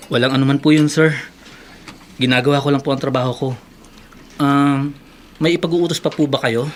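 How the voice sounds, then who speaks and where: A man's voice: medium pitch, steady tone, normal speed, pauses often, a little hiss or hum, lightly acted. A Filipino man playing a humble, dutiful young assistant in a radio drama. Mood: deferential.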